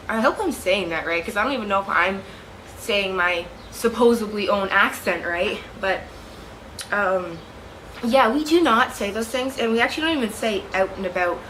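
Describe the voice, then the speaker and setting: A woman's voice: a little high, low-quality recording. A young woman with a Canadian accent chatting casually to her camera at home, rambling, correcting herself and giggling. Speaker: vlogger. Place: room at home with a fan running. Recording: steady fan noise behind.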